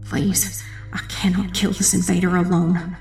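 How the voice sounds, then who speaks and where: A woman playing a determined witch who narrates a dark fantasy audiobook in an intense, dramatic, breathy voice with heavy creak. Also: fairly low pitch, level tone, a little slow, soft background music. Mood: pleading. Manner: intense, hushed, dramatic first-person narration. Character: determined young witch telling her own battle.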